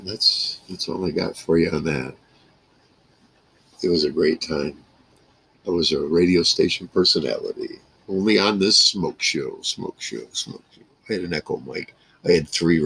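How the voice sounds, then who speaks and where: A man in his sixties with a gravelly, husky voice, telling rambling, salty stories in a casual monologue to camera. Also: sits low, a bit bright, low-quality recording. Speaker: vlogger. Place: small wood-paneled room indoors.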